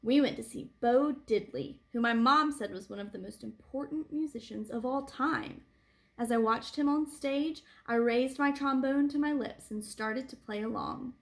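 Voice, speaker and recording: A woman reading a picture book aloud to children like a teacher, with animated phrasing and deliberate emphasis. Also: on the high side, gravelly. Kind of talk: expressive read-aloud storytelling for children.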